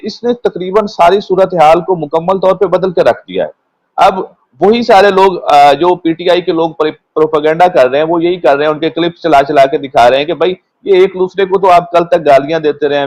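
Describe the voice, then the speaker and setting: A man with a Pakistani accent speaking as a guest commentator, explaining politics in a measured, unscripted way and sometimes repeating words. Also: on the high side, a little mellow, no echo, rough recording. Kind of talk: unscripted political analysis in a panel discussion.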